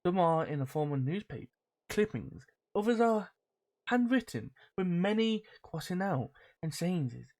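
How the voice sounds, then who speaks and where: A woman's voice: lowish voice, very animated, measured pace, mellow. A woman game streamer reading on-screen text aloud and adding playful, sweary casual commentary.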